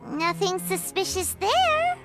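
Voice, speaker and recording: A squeaky, bouncy little fairy girl, her voice very high and leaping all over the place. She chirps along like a child in a video game. Music plays clearly beneath her. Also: very animated, slow, heavily acted. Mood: curious. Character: tiny chirpy female floating fairy companion. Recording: game audio with music underneath.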